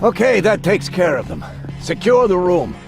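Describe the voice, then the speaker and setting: A man playing a tough, gravelly squad leader barking orders in a video game. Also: high voice, big pitch swings, normal speed, loud music behind the voice, heavily acted. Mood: urgent.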